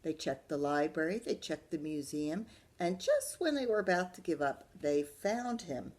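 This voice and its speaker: A woman's voice: sits low, animated, moderate pace, a little mellow. A woman librarian reading a children's story aloud, expressively, putting questions to her young listeners.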